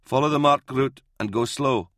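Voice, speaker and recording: A man voicing a brisk, composed army captain in an audiobook, giving clipped commands. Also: medium pitch, fairly bright tone, dry recording, studio quality, pitched above the performer's own voice, close to an everyday voice. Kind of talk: audiobook character voice in military science fiction.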